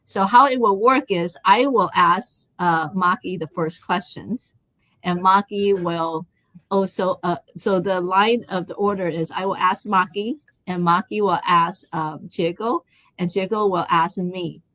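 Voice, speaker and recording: A woman's voice: lowish voice, steady tone, measured pace, sharp tone, no echo, poor audio. A woman from Taiwan hosting a live online interview. She talks in a chatty way, with many fillers and restarts. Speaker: host.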